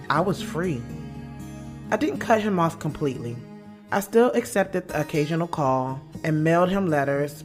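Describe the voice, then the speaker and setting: A woman playing a guarded, blunt young woman author in an audio drama, speaking frankly and with slangy sass about her love life. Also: deep voice. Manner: candid, slangy, sassy. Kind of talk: first-person audio drama narration and dialogue. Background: music bed.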